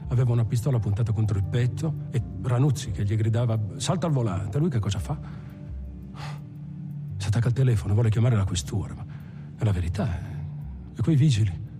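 A man's voice: medium pitch, expressive, a little fast, pauses often, natural voice. An actor playing a cold, scornful bank robber who matter-of-factly recalls his crimes in a film monologue. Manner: contemptuous, matter-of-fact. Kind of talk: dramatic first-person monologue in a film. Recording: music behind the voice. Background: music.

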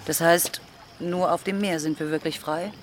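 An actress playing a devoted, determined pirate woman in a German radio drama, speaking softly and deliberately. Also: low voice, steady tone, natural voice. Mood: earnest devotion. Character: devoted female pirate, loyal, loving, determined. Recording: music behind the voice.

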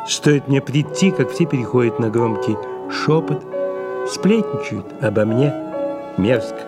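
A man acting a world-weary, sardonic aging male writer in exile in an audio play, pausing and drawing out his words. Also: mid-range voice, close to an everyday voice. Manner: slow, drawn-out, pausing, declamatory. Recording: music clearly behind the voice.

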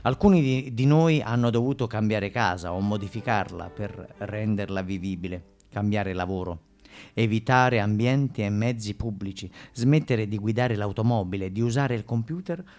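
A man's voice: fairly low pitch, moderate pace, darkish tone. A man with a Sicilian accent delivers a theatrical monologue as a stage narrator, in an emphatic, rhetorical manner. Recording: background music under the voice. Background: music.

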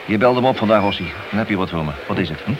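An actor playing a hard-nosed, cynical police detective in a Dutch radio play, blunt, needling and sardonic in manner. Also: male voice, on the low side, racing, dull audio, natural voice. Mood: menacing, sardonic. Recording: noisy, hissy old radio drama recording.

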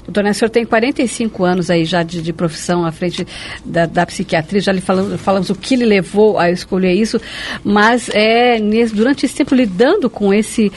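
A man's voice: high voice, brisk, a little hiss or hum. A man with a Brazilian Portuguese accent interviewing on the radio in a chatty, conversational way, echoing and confirming what is said. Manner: chatty, echoes and confirms the guest's words.

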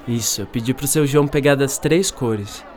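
A man's voice: mid-range voice, normal speed, natural voice. Man playing cheeky schoolboy Marquinho, chatter behind. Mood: casual, puzzled. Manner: teasing, slangy, casual. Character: Marquinho, cheeky teasing schoolboy, poor at maths. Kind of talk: scripted radio drama acting.

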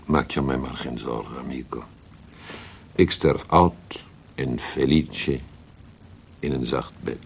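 An actor playing a contented, affectionate old Italian man with a gravelly voice in a radio drama. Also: exaggerated voice. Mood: serene, affectionate. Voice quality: gravelly, very deep. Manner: slow, affectionate, sprinkles Italian words. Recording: clearly noisy archive radio recording.

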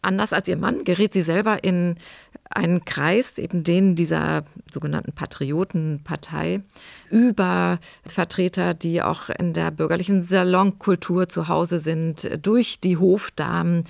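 A woman giving explanatory commentary as a history expert, in measured academic phrasing. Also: on the low side, steady tone, gravelly, a little mellow, dry recording, studio quality.

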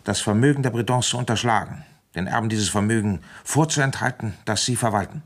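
A man's voice: fairly low pitch, fairly quick. A man playing a weary, suspicious police inspector with a probing manner in a German radio play. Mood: suspicious, pressing. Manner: probing, insistent. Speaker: detective and first-person narrator.

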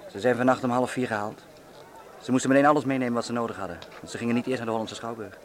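An actor in a Dutch radio drama playing a plain-spoken, respectful neighbour, matter-of-fact and urgent. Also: male voice, medium pitch, fairly even intonation, very fast, close to an everyday voice. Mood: grave, urgent.

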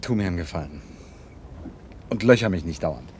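A man's voice: low-pitched, lively intonation, normal speed, darkish tone, a little hiss or hum. An actor in a German radio drama playing an irritable, evasive man who gives curt, grumbling, dismissive replies to his friend. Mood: irritated.

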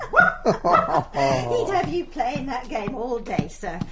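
An actress playing a chatty, deferential, long-serving English maidservant in a radio drama, older and homely in manner. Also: female voice, high-pitched, huge pitch swings, drawn-out words, a loud crowd, heavily acted. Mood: indulgent, amused. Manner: gossipy, respectful, homely.

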